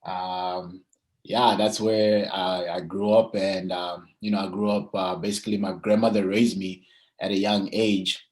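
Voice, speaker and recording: Young man, low voice, hesitant casual interview talk, frequent pauses. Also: flat delivery. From Zimbabwe and South Africa. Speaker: athlete being interviewed.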